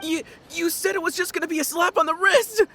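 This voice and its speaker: A woman's voice: extremely high pitch, a little fast, bright, forceful tone, a little hiss or hum, exaggerated voice. A woman playing a flustered, eager-to-please young gang underling in a video game, stammering and pleading. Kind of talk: animated video game voice acting. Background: game music.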